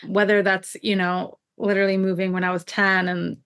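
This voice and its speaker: A woman's voice: mid-range voice, flat delivery, normal speed, a bit bright, smooth. A woman from Jerusalem who moved to the US at ten, a native Hebrew speaker, talking reflectively and explaining her ideas as an interview guest. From born in Jerusalem, moved to the US at ten. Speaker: podcast guest, scholar and artist.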